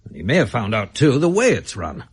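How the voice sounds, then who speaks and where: An actor in a radio drama playing a wary, hard-nosed, impatient drug dealer who talks in a blunt, guarded, bargaining way. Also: male voice, medium pitch, wildly swooping pitch, fairly quick, husky, full character voice. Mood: defensive.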